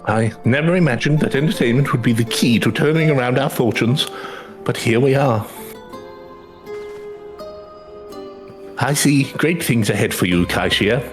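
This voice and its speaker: A man's voice: medium pitch, brisk, over music, lightly acted. A man playing a dignified older male businessman in a video game, speaking formally and eloquently. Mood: approving.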